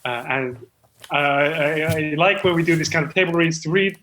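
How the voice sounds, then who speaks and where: High-voiced, rambling amateur screenwriter, played by a man. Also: fairly bright tone, echoey room, close to an everyday voice. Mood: hesitant, apologetic. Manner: hesitant, rambling, full of pauses. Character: earnest, self-important amateur male screenwriter, apologetic and rambling. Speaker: host playing a screenwriter. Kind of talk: improvised mockumentary comedy chat.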